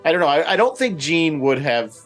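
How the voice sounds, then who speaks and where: A man's voice: fairly high pitch, very animated, fairly quick, fairly bright tone, soft background music. A man on a discussion panel, talking casually and restarting his phrases as he makes his point. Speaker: roundtable panelist.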